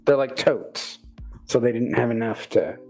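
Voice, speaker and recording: A man's voice: medium pitch, fairly even intonation, a little slow, music in the background, low-quality recording. A man in his thirties talking in an easygoing, descriptive way in a casual group chat on a livestream. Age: 30s.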